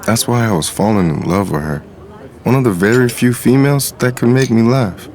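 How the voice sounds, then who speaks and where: A man's voice: medium pitch, brisk, a little mellow, close to an everyday voice. A man playing a smitten street hustler, narrating his story in the first person with confident reflection. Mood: smitten, self-assured.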